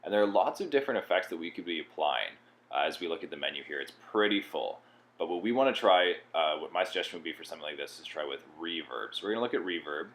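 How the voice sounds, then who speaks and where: A man presenting a software tutorial in a plain-spoken, explanatory way. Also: low voice, lively intonation, fast talker. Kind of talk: instructional software walkthrough.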